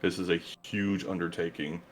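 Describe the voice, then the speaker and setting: A man's voice: sits low, steady tone, normal speed. A man guest in a casual interview, talking earnestly and gratefully about his gaming group.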